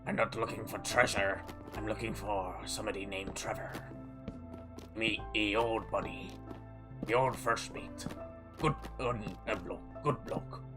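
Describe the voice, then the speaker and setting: A man voicing a gruff, boastful male pirate captain in heavy pirate dialect, over background music. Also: fairly low pitch, steady tone, natural voice. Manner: pirate dialect, drawn-out growls. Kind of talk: gaming video role-play.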